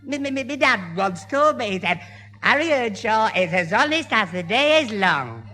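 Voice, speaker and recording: An actor playing a dim, gullible lad who stammers nervously in a Northern English accent, in a vintage radio sitcom. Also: male voice, high voice, very animated, moderate pace, sharp tone, soft background music, full character voice. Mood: flustered. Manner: stammering, flustered. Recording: hissy, echoing 1970 radio recording.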